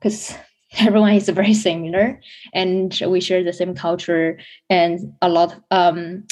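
A woman's voice: lowish voice, level tone, a little slow, a little mellow. A young woman from China, a business school student answering interview questions in a chatty way with many fillers and restarts. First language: Chinese.

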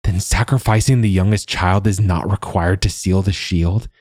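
Man playing a troubled, husky nobleman father. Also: sits low, fairly even intonation, normal speed, no echo. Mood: guarded, pressing. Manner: hushed, terse, commanding. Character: troubled adult nobleman father, urgent and guarded.

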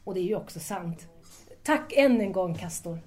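A woman's voice: on the low side, expressive, a little mellow, lightly acted. A woman playing an eager, admiring young student in an audio drama, curious and deferential. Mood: trusting, curious.

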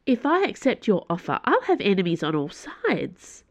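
Woman playing a halting, earnest young female pilot. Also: medium pitch, huge pitch swings, no room sound, pitched above the performer's own voice. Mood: careful, defiant. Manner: halting, earnest, formally polite. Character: young female pilot, loyal, nervous but defiant. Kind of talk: audiobook character voice.

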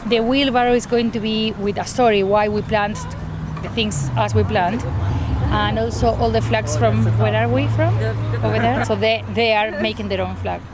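Woman speaking flatly outdoors, traffic behind. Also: on the high side, moderate pace. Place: outdoors in a garden.

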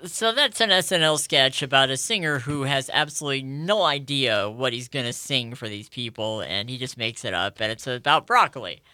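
Bright, lively man co-hosting a chat. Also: mid-range voice, soft background music. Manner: playful, drawn-out exclamations. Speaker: podcast co-host. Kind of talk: casual chat.

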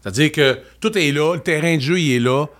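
Fast-talking Québécois man in his fifties. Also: on the high side. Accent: Québécois French. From Quebec. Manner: animated storyteller, quick and chatty. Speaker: podcast guest, former music TV host. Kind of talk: casual interview chat.

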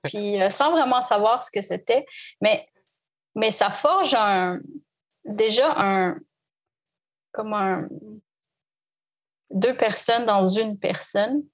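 A woman's voice: medium pitch, takes it slowly, long pauses. A woman violinist from Canada, in her thirties, talking reflectively in an interview, hesitant with many restarts. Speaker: violinist guest. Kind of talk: conversational interview.

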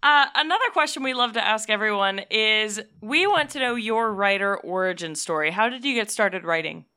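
A woman's voice: medium pitch, animated, moderate pace, bright, forceful tone, smooth. A woman co-hosting a casual podcast interview, asking questions and chatting with the guest. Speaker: podcast co-host.

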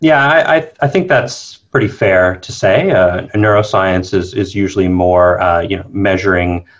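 Canadian guy on a podcast, deep voice, kinda muffled lo-fi call, explaining stuff conversationally. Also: measured pace. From New Brunswick, eastern Canada. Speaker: podcast guest, academic researcher. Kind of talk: conversational interview answers. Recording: dull, band-limited call audio.

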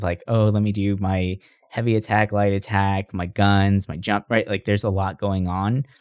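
Man, slightly low pitch, dry sound, casual gaming chat, informal, podcast co-host. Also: no echo.

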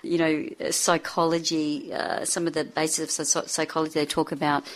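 A woman in her fifties talks as a guest in a reflective manner. Her voice sits low for a woman. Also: flat delivery, normal speed, low-quality recording. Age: 50s. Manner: reflective, frequent fillers and restarts. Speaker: author and speaker, guest. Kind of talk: interview conversation.

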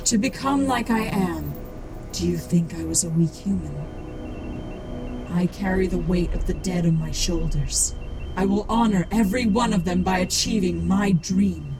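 A woman's voice: fairly low pitch, moderate pace, over music, natural voice. A woman playing a cold, grandiose cyborg priestess in an audio drama, speaking in a solemn, declamatory manner. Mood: defiant.

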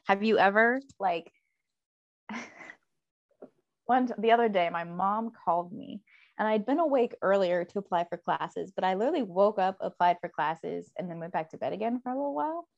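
A young woman chats casually with a friend, sleepy and giggly, rambling through her stories with plenty of fillers. Her voice sits at an ordinary middle pitch. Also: expressive, moderate pace, gravelly, lo-fi sound. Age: young adult. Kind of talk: casual chat.

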